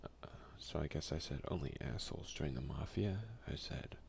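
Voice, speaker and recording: Gravelly, hushed young man, low voice. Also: moderate pace. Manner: soft-spoken, often slipping into whispers. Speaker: gaming video creator. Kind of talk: hushed ASMR let's play, commenting and reading game text. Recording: faint noise behind a home recording.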